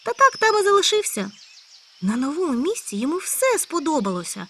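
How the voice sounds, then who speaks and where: A woman voicing an excitable, chatty male hare in a storybook tale, talking high and loud. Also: a little fast, noisy background. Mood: eager. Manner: eager, exclaiming, high and loud. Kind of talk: storybook character voice.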